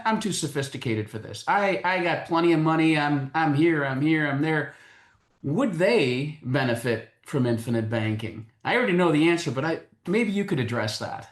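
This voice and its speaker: A man's voice: fairly high pitch, sing-song, moderate pace. A man hosting a conversational interview, putting questions to a guest. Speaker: interview host. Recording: echoey, roomy sound.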